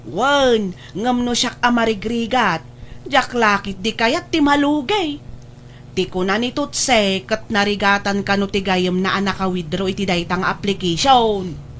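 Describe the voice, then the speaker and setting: A woman's voice: medium pitch, unhurried, fairly bright tone, slight background noise, close to an everyday voice. A woman with an Ilocano accent playing a hesitant, cautious village auntie with a plaintive manner in an audio drama. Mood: reluctant.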